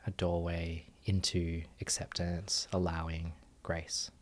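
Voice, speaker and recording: A man guiding a meditation as a teacher, giving gentle instructions with long pauses. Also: sits low, monotone. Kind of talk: guided meditation.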